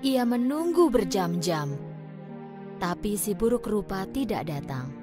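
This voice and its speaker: A woman narrating a children's fairy tale in an expressive, scripted storytelling style. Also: medium pitch, a little slow. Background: background music.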